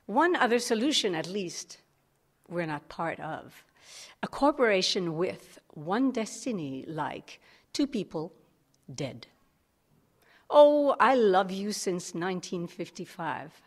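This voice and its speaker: A woman's voice: mid-range voice, sing-song, slow pace. A woman speaking and reciting a poem informally at a live tribute event.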